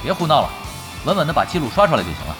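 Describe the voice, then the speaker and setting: A man playing a young online-game team leader in a Chinese audio drama, brisk, bossy and commanding. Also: a little high, sing-song. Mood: exasperated. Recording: music bed under the voice.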